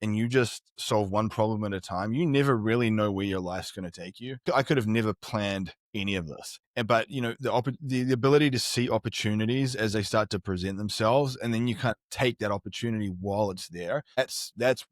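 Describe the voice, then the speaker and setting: A man's voice: fairly low pitch, a little fast, hardly any pauses, no room sound, clean studio sound. A middle-aged man with a New Zealand accent, telling his life story as a podcast guest in an earnest, reflective way. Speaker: performance strategist guest. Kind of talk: candid podcast interview.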